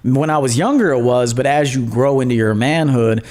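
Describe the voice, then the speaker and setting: A man's voice: mid-range voice, moderate pace, a little mellow. A man who works as a coach, a guest on a podcast, giving blunt advice in a loose, repetitive, filler-filled way. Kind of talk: casual podcast interview.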